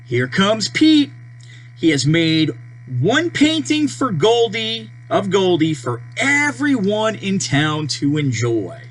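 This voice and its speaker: Very slow, animated children's storybook reader. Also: mid-range voice, poor audio. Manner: deliberate, simple phrasing with page-turn prompts. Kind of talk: children's read-aloud narration. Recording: steady mains hum behind voice.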